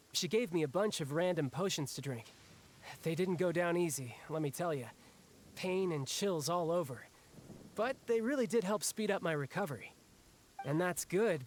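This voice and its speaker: A man plays a cocky, restless young warrior from an anime game. His voice is on the higher side for a man, boyish and casual with a wry edge. There is faint noise in the background. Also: lightly acted. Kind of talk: anime video game voice acting.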